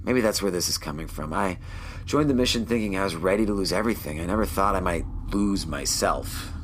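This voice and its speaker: A weary male starship captain, played by an actor, with a deep voice. He sounds thoughtful and unsure of himself, speaking in subdued, natural sci-fi audio drama dialogue over faint ambient noise. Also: fairly quick, natural voice. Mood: weary, lost.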